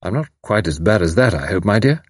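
A man voicing a gentle, patriotic Englishman in an audiobook, calm, thoughtful, dry and understated. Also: deep voice, a little fast, breathy edge, no echo. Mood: gentle, simple. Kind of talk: audiobook character dialogue.

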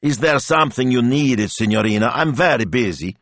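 A fussy, scheming middle-aged man, quick to exasperation, his voice leaping up in lively bursts, as a male storyteller puts him on. It has a dry, close sound with no room around it. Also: medium pitch, no echo, pitched above the performer's own voice. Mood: agitated. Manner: exasperated, theatrical.

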